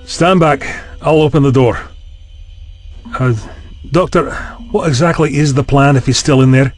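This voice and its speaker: A man's voice: mid-range voice, unhurried, faint music behind, natural voice. A man playing a skeptical, impatient university chancellor in an audio drama, speaking bluntly and with exasperation. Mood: nervous.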